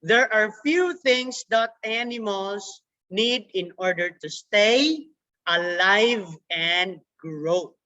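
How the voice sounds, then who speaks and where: A woman teaching a young children's science class over a video call, repeating words slowly for the kids. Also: medium pitch, pushed and bright. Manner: slow, repetitive, drawn-out words for children. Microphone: computer mic on a video call. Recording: dull lo-fi video call audio.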